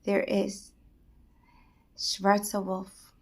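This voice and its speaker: Woman playing a holy old male sage, gentle, solemn, soft, slightly gravelly. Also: fairly high pitch, fairly even intonation, a little slow.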